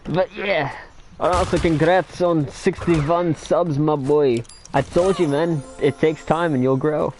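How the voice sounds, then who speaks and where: A young man hosting a livestream, chatting casually while he plays a game. His voice is thin with little bass, and he is excitable and laughs a lot. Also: slow pace, soft background music. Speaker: livestream host.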